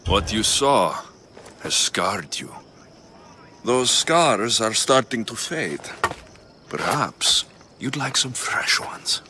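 A cocky warrior, low bright voice, slow and wry. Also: male voice, sing-song, slight background noise, exaggerated voice. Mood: menacing. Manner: wry, teasing, menacing. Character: confident male mercenary warrior, dry-witted.

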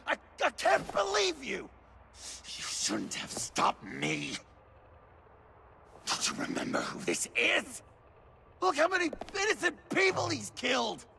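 An actor playing a manic, needy young clown vigilante in a video game, theatrical and excitable, prone to stammering. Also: male voice, very high voice, vocal fry, slight background noise, heavily acted. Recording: loud dramatic music behind.

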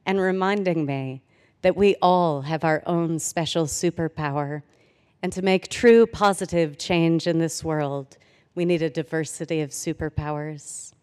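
A woman in her forties giving a formal commencement speech from a podium, earnest and measured with light humor. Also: sits low, a little mellow, smooth. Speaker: commencement speaker. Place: large hall, at a podium on stage. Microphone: podium microphone. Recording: reverberant auditorium sound.

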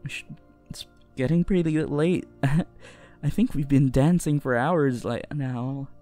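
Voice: medium pitch, slow pace, dark tone, close to an everyday voice. A performer voicing a nervous, sweet teenage boy hero-in-training who stammers and mumbles in a fan roleplay audio. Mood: shy, tender. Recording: music behind the voice.

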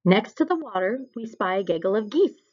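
A woman reading a children's picture book aloud in an expressive storytelling style. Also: mid-range voice, a little fast. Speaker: storybook reader. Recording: dull, lo-fi recording.

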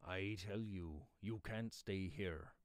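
A man voicing a gruff but kindly yeoman father in a storybook reading, with blunt, brisk, rustic speech. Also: low-pitched, no echo, studio quality. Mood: curt, firm. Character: gruff, kindly, quick-speaking yeoman father, brusque and dismissive. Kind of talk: audiobook character voice.

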